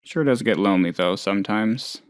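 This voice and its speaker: A man's voice: fairly low pitch, moderate pace, dry recording, clean studio sound, natural voice. A man playing a hapless, trusting, lonely oddball in a video game, talking in a rambling, hesitant, good-natured way. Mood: wistful, lonely. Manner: rambling, hesitant, naive, chatty. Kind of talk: video game character dialogue.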